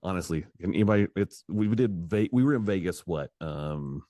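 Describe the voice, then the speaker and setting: Deep-voiced male podcast host. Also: expressive, normal speed, mellow, no room sound, studio quality. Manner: rambling, restarts, upbeat hype. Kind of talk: casual video-call podcast chat.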